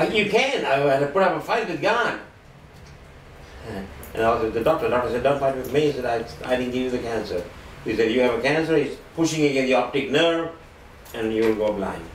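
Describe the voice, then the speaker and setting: An older man giving an earnest testimony sermon with a loud voice, swinging between hushed and forceful delivery. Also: mid-range voice, a little hiss or hum. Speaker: lay preacher giving testimony. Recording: roomy and distant with faint ambience.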